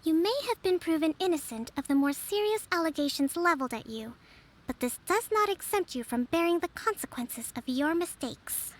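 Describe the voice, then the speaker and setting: A calm young priestess leader. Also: female voice, extremely high pitch, normal speed, poor audio. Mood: firm. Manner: composed, formal, gently authoritative. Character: young female divine priestess, calm and measured. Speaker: island leader. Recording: game audio with soft music behind.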